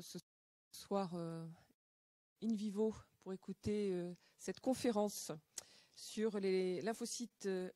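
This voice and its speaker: A woman introducing the speakers at a medical conference, polite and halting, with many fillers. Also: medium pitch, dark tone, dry recording. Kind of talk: event introduction. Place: lecture hall.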